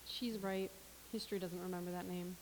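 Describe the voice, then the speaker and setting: A woman's voice: on the low side, fairly even intonation, brisk, lightly acted. A woman playing a dry, sardonic café server. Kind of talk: staged comedy reading. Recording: reverberant space with faint hiss.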